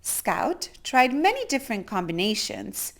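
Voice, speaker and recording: A woman reading a children's picture book aloud as storyteller, theatrically, with drawn-out emphasis on key words. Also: medium pitch, big pitch swings, vocal fry, a bit bright.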